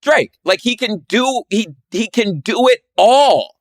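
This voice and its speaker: A man's voice: high voice, expressive, slow pace, dry recording, studio quality. An American man chatting casually about music in an emphatic, slangy way, often repeating his phrases. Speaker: rapper and poet, guest.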